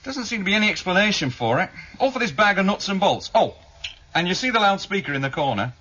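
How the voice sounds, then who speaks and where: Deferential junior British investigator, 1970s radio drama. Higher-set, forceful voice, matter-of-fact delivery. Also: male voice, sing-song, fast talker, a little hiss or hum, exaggerated voice. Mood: methodical, matter-of-fact. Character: deferential but keen junior police investigator, male, methodical. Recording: dull, lo-fi 1978 radio broadcast.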